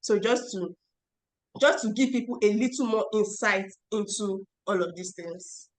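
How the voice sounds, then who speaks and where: A woman's voice: medium pitch, steady tone, a little slow. A woman hosting a podcast, asking chatty, rambling questions. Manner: chatty, laughs between questions. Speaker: podcast host. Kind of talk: interview questions, casual chat.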